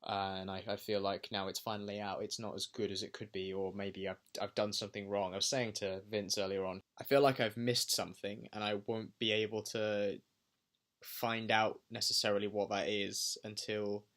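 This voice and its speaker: A man's voice: lowish voice, little pitch movement, moderate pace, fairly bright tone. A young adult man talking reflectively in a personal video diary, pensive and hesitant as he thinks aloud. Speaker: vlogger and amateur filmmaker.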